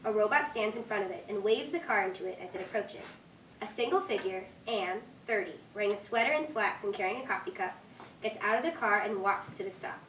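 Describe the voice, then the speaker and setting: A woman's voice: medium pitch, brisk, fairly bright tone. A young woman reading stage directions aloud as scripted narration at a staged reading. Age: young adult. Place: echoey indoor room at a staged reading. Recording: echoey room, distant mic, faint noise.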